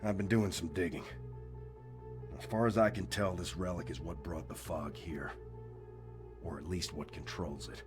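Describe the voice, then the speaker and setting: An actor playing a grizzled older occult hunter in a video game cutscene, gruff, brooding and portentous. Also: male voice, deep voice, fairly even intonation, normal speed, long pauses, close to an everyday voice. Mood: grave explaining. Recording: music under the voice. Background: music.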